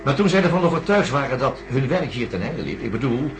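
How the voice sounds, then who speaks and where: A patient radio-play doctor who explains his reasoning at length. His voice rises and falls a lot as he lays out each point. There is clear noise under the recording. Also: medium pitch, sing-song, fast. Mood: earnest. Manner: explanatory, earnest. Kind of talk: radio drama acting.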